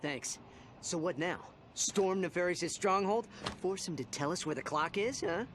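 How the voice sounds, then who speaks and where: A man voicing a cocky, eager young alien hero in a video game cutscene, quick with a wisecrack. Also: sits high, noisy background. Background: game score music.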